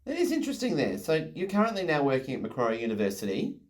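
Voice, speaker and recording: A man's voice: a little high, wildly swooping pitch, fast talker. An enthusiastic man interviewing a guest over a video call, full of effusive praise.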